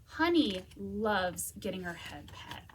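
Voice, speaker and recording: A woman's voice: mid-range voice, big pitch swings, takes it slowly, a little hiss or hum. A woman hosting a children's storytime, reading aloud expressively and speaking to young listeners. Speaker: library storytime host.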